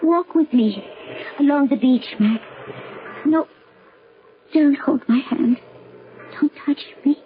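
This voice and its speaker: Gentle young princess, torn and sorrowful, unhurried careful formal speech, radio play, narrowband hissy sound. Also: female voice, sits high. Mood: guarded. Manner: halting, careful, formal phrasing without contractions. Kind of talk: 1970s radio drama acting.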